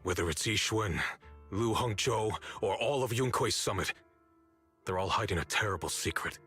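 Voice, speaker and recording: A man's voice: lowish voice, moderate pace, pushed and bright, slightly rough. An actor playing a bitter, resolute young man, an orphaned former disciple, in a dramatic video game with sardonic, accusing delivery. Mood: cold defiance. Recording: studio voice over background music. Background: music.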